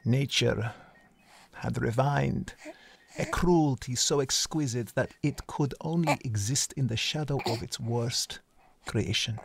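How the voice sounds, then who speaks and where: A man acting a brooding, self-loathing narrator of his own life, speaking solemnly in poetic phrases with weighty pauses. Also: mid-range voice, creaky, husky, no echo, heavily acted. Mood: brooding, bitter. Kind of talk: dramatic first-person voice-over.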